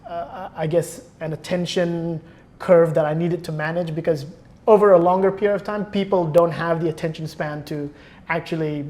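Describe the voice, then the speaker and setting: A man's voice: on the high side, fairly even intonation, moderate pace, darkish tone. A man speaking as a guest in a conversational interview, often searching for words and rephrasing as he explains. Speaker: interview guest, film producer.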